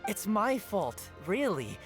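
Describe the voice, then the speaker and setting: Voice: medium pitch, very animated, slow pace, soft background music. An actor playing a flustered, eager young newspaper seller in an anime game, earnest and apologetic in manner.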